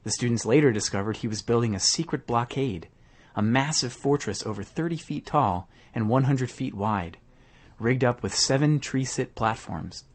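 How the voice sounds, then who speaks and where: A man's voice: mid-range voice, normal speed. A man hosting a podcast, reading scripted narration that frames an interview.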